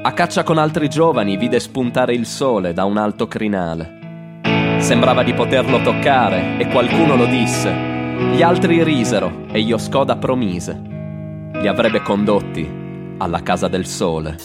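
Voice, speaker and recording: Male storyteller, middling-low pitch, incantatory and measured, reading dramatically with guitar loud behind him. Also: lively intonation. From Italy. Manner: measured, incantatory phrasing with deliberate pauses. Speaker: author reading his own texts. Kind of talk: dramatic literary storytelling reading over live music. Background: live guitar music.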